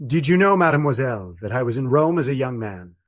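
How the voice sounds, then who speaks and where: Elderly monk for radio drama, male actor: courteous, formal, measured, very dry recording. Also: medium pitch, sing-song, no echo, close to an everyday voice. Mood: reminiscing. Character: elderly dignified Trappist friar, once an adventurer. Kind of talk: radio play acting.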